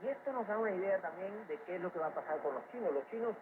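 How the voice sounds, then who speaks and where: A man's voice: high voice, lively intonation, rapid-fire. A man giving explanatory, opinionated economic commentary as an expert guest in a radio interview, heard over shortwave radio. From Cuba. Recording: noisy shortwave radio capture, narrow phone-like band. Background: loud shortwave static and noise.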